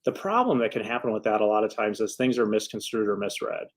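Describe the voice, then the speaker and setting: A man's voice: lowish voice, very animated, fast talker. Man giving a casual, earnest advice talk. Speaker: attorney speaking to followers. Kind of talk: casual advice vlog to camera. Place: office.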